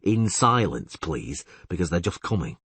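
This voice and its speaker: Man as a low, gruff, stern monster hunter. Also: big pitch swings, measured pace, a bit bright, no echo, heavily acted. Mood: stern, scolding. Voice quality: low, growling. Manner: terse, cold, commanding. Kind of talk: audiobook character acting.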